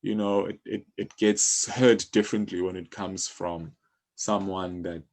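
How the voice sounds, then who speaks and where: Low, creaky-voiced man chatting. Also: steady tone, measured pace, darkish tone. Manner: explanatory, encouraging, peppers speech with "you know". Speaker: music publisher, podcast guest. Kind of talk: casual interview talk.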